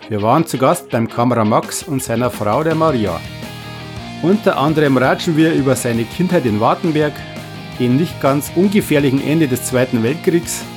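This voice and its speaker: Bavarian podcast host over guitar music. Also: male voice, mid-range voice. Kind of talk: podcast introduction.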